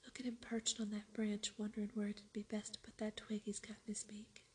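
A woman whispering the lines of a kind, calm Yorkshire farm boy in a storybook reading, keeping a soft Yorkshire dialect. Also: medium pitch, a little fast, slightly rough. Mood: gentle. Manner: whispered, gentle.

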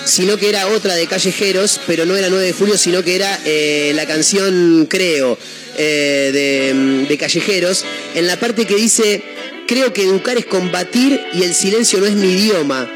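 This is a man with an Argentine accent hosting a casual radio show. His voice sits fairly high. He chats in a halting, easygoing way, often trailing off and correcting himself, while music plays clearly underneath. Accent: Argentine Spanish. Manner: chatty, halting, many fillers and self-corrections. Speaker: radio host. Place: radio studio.

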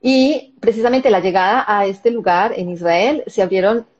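A Colombian-accented woman, a psychologist, shares her story at a gentle, reflective pace. Her voice sits at an ordinary middle pitch and comes through slightly muffled, as on a low-fi call. She hesitates often with soft fillers. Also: moderate pace. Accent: Colombian Spanish. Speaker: guest, psychologist and therapist. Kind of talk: interview, personal story.

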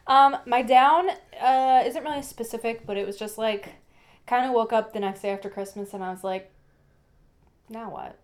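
A woman's voice: on the high side, moderate pace. Chatty young woman rambling on. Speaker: podcast co-host. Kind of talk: casual chat.

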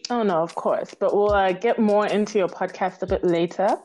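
A woman's voice: medium pitch, moderate pace, darkish tone, soft background music. A woman hosting a podcast interview, chatty, often saying "like" and laughing. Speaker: podcast host. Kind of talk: interview host, scripted intro then casual chat.

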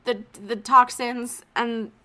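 A woman's voice: fairly high pitch, level tone, slow pace, bright, forceful tone. A young woman co-hosting a podcast in casual chat, laughing often and a little sniffly from allergies. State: stuffy allergies. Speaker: podcast co-host.

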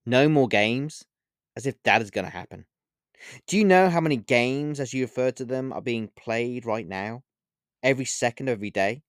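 Audiobook witch, male reader: scornful and playful, lifted pitch, very animated, stretching words to taunt. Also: clear, resonant tone, no echo, studio quality. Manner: mocking, drawn-out emphatic phrasing. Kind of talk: audiobook character voice.

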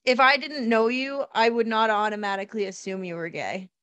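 A woman's voice: a little high, steady tone, brisk, sharp tone, dry recording. A woman co-hosting a podcast, teasing and answering in short, dry replies. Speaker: podcast co-host. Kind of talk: casual chat.

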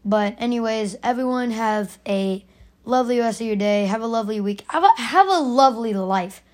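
Raspy young woman ranting emphatically. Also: a little high, moderate pace. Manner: emphatic, argumentative, repeats points. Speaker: podcast host. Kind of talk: opinionated solo podcast rant.

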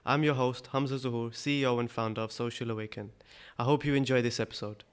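A man hosting an interview podcast, a bit croaky from a cold, talking in a relaxed way with many ums. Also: mid-range voice, quick pace, very noisy. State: a bit croaky, under the weather. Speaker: podcast host. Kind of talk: casual interview chat.

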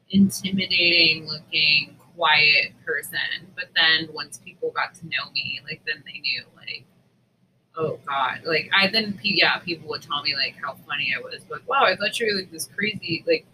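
Very bright-voiced man in casual chat. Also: fairly high pitch, measured pace, slight background noise, rough recording. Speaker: podcast co-host.

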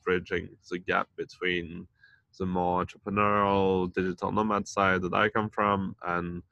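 A man in his thirties from France and Denmark, a community founder talking casually as a podcast guest, with many pauses and fillers. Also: deep voice, flat delivery, vocal fry, pushed and bright, no room sound, lo-fi sound. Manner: hesitant, many fillers and restarts. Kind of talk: casual interview chat.

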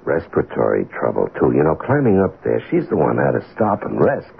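Very deep, gravelly, sheepish husband. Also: male voice, huge pitch swings, full character voice. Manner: halting, self-excusing. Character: sheepish, hesitant husband confessing to his wife. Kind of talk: 1970s radio mystery acting. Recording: narrow-band old radio, faint noise.

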